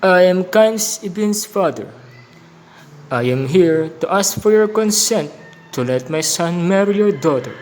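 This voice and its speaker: A man's voice: sits high, animated, unhurried, darkish tone, a little hiss or hum. A man playing a polite father formally pleading his son's case in an amateur radio drama. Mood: respectful. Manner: formal, courteous.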